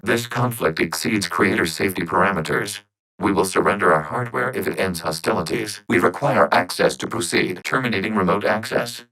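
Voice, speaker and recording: A low male robot voice from a video game. It speaks for a machine collective with measured, formal precision. The delivery is calm, logical and emotionless, with a synthetic, processed edge. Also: low-quality recording. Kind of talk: scripted video game character acting.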